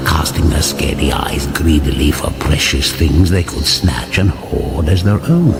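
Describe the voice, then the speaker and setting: An actor playing a solemn, portentous fantasy chronicler, narrating a mock-serious epic in grand style. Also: male voice, extremely low pitch, creaky, exaggerated voice. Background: music.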